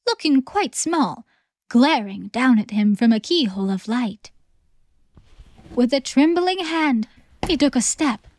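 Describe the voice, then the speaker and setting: A woman reading scripted story narration for a theatrical audiobook in an expressive, measured manner. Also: high-pitched.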